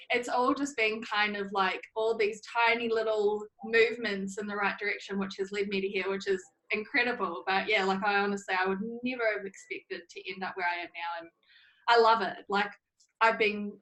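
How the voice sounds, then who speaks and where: Young NZ woman, relaxed interview guest, middle-pitched and talkative. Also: rough recording. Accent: New Zealand. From New Zealand. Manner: chatty, laughs often, many fillers. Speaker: interview guest, social media manager. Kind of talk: casual interview talk.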